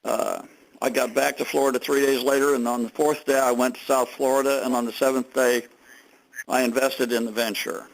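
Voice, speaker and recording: An older man, a company executive, gives remarks on a conference phone call in measured phrases with pauses. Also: medium pitch, flat delivery, vocal fry. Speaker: company executive being interviewed. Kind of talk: conference call remarks, recounting his business.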